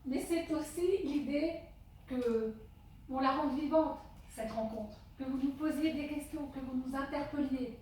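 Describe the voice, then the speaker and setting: A woman giving an opening speech at a public meeting as its chair, speaking slowly and haltingly with many pauses. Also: sits high, dark tone. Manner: deliberately slow, halting delivery with many pauses. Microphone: handheld portable microphone. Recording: echoey hall, distant microphone, faint noise.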